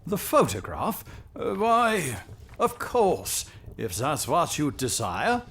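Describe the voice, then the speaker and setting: A man's voice: fairly high pitch, huge pitch swings, takes it slowly, fairly bright tone, exaggerated voice. A man playing a haughty, lovelorn king in an audio drama, with a pompous, formal and exclamatory manner. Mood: relieved, magnanimous. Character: haughty, theatrical, lovelorn male European king.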